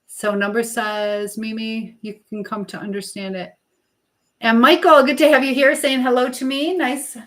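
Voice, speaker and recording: A woman hosting a casual live stream while she cooks, chatting informally to viewers and reading out their comments. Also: fairly high pitch. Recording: clear background noise and clicks.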